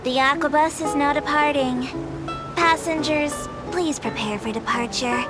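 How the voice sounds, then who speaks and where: A small creature tour guide from a video game, with a highish voice and a formal, courteous delivery. She makes announcements in precise, scripted phrases with a dry, matter-of-fact edge. Loud music plays under the voice. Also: unhurried. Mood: polite, composed.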